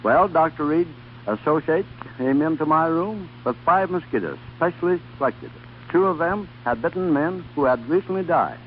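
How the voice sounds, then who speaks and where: A man's voice: on the high side, animated, normal speed. A man recounting his experience as a radio guest, sincere and solemn. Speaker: interview guest, former volunteer. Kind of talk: earnest recounting on 1930s radio. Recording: tinny archival radio recording with hum.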